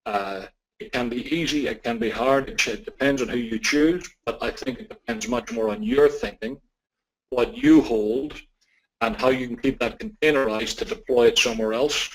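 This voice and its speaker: A man with an Irish accent answering questions as a technology expert, speaking in a deliberate, measured way with emphatic repetition. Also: medium pitch, vocal fry, slight background noise, rough recording. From Ireland.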